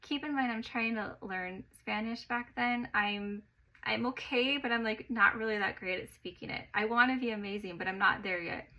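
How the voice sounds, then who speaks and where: A woman narrating a personal story in an expressive way, getting louder at the exciting parts. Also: fairly high pitch, normal speed, muffled recording.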